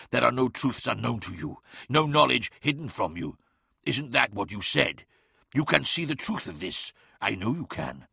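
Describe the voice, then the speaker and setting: A man voicing a monstrous, gloating tempter in an audiobook, taunting and prophetic with drawn-out words. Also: mid-range voice, creaky, sharp tone, husky, dry recording, clean studio sound, exaggerated voice. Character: monstrous male void predator, mocking, gloating tempter. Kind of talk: dramatic audiobook character acting.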